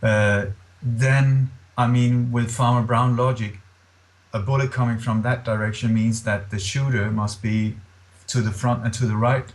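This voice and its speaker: A middle-aged man from Scandinavia with a Scandinavian accent, speaking earnestly and haltingly as an interview guest. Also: mid-range voice, level tone. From born in Denmark, grew up in Sweden.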